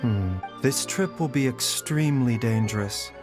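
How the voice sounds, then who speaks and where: An actor playing a composed, courteous male doctor in a game, speaking in a calm, formal and precise way. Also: medium pitch, a little slow, over music, natural voice. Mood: measured. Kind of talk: game voice acting.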